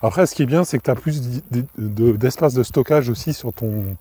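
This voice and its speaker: Man, has a cold, casual, halting, fillers, mid pitch, mellow tone. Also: breathy edge. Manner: informal, hesitant, many fillers and restarts. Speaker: podcast host. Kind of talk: casual talk to listener.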